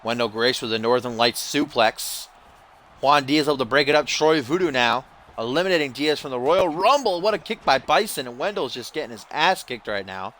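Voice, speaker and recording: A young man giving profane, excitable play-by-play of a video game wrestling match, grumbling one moment and yelling the next. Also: mid-range voice, brisk. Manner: swings from dry grumbling to yelling big moves; profane, teasing.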